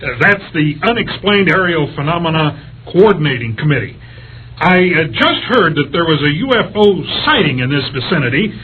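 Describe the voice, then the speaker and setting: A man's voice: a little high, sing-song, moderate pace, husky, narrow, tinny audio. A man playing an earnest, self-important investigator, officious yet hesitant, in radio drama style. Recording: band-limited radio sound, faint noise.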